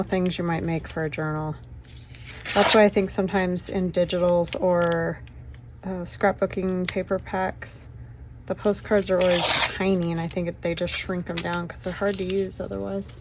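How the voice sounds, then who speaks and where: Woman, middle-aged, slightly hoarse, rambling chatter, pokes fun at herself, craft vlog, paper rustling, noisy recording. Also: fairly low pitch. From northern Nevada, US. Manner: rambling, self-mocking, talks to viewers while working. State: slightly hoarse, losing her voice. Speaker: craft channel host.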